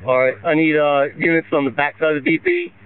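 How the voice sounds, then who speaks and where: A man's voice: on the high side, steady tone, brisk, smooth, dry recording. A man, a police officer, giving radio traffic over a police radio. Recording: dry, narrow police radio transmission.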